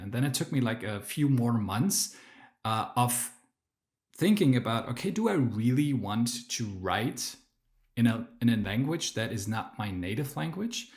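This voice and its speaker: A man whose native language is German, telling his story as a podcast guest, with many fillers and restarts. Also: medium pitch. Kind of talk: casual interview storytelling.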